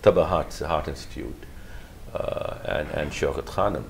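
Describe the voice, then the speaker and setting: Man, middle-aged, extremely low voice, heavy vocal fry, hesitant, slight hiss behind. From Pakistan. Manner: halting, measured, many fillers and restarts. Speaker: guest, physician. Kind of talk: interview answers.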